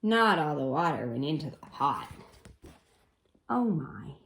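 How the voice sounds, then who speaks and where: A woman reading a story aloud for children in an expressive, coaxing way, pausing for chatty teacherly asides. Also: low-pitched, gravelly. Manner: animated, coaxing, pausing to comment and wonder.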